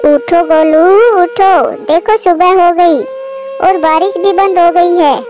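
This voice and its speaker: A woman voicing a gentle, helpless young mother bird with a plaintive manner in a moral-story cartoon. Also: extremely high pitch, slow pace, pitched above the performer's own voice. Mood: coaxing. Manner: pleading, plaintive.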